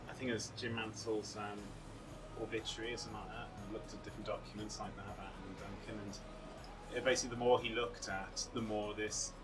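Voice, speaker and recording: A man's voice: lowish voice, little pitch movement, fairly quick. A man in his mid-thirties giving earnest, emphatic, unscripted interview answers outdoors. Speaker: actor. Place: outdoors on a sea front. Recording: distant mic, music behind.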